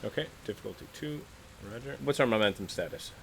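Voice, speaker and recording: A man's voice: fairly low pitch, lively intonation, fast. A man playing a tabletop game, chatting casually and thinking out his moves aloud with frequent restarts. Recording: clear background noise.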